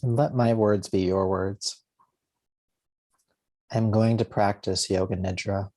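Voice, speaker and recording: Low, mellow man guiding meditation. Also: fairly even intonation. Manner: soothing, gentle, unhurried with spaced short phrases. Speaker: yoga nidra teacher. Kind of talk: guided meditation.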